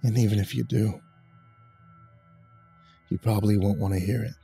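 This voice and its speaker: A raspy, mellow older man, acted by a male narrator in a dramatic story. He is a devout, remorseful killer who murmurs as if worn out, halting between phrases, with music behind him. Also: fairly low pitch, fairly even intonation, exaggerated voice. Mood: somber, confessing. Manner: slow, exhausted, halting. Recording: background music under the voice.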